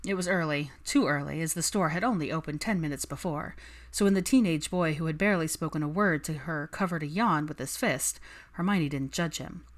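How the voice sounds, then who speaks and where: A woman's voice: sits low, a little fast. A woman reading fiction aloud as an audiobook narrator, in scripted storytelling style.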